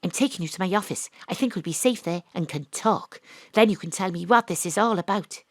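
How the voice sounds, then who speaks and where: A woman narrates a hard-boiled detective parody in a wry, deadpan way, sounding brash and knowing. Her voice sits on the lower side, and it is recorded close and dry in a home studio. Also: fairly quick, dry recording. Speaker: narrator and lead actor, private detective.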